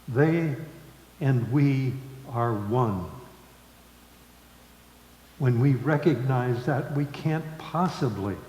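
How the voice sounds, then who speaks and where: A man leading worship in a solemn sermon style, speaking deliberately in short phrases with long pauses. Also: mid-range voice, dark tone. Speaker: worship leader. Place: large congregation hall. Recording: echoey reverberant hall with background noise.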